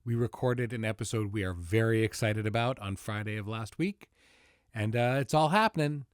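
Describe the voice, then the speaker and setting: A man's voice: on the low side, moderate pace, clean tone, dry recording. A man hosting a podcast and talking casually as a series creator, informal, with dry joking asides. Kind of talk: casual podcast introduction and conversation.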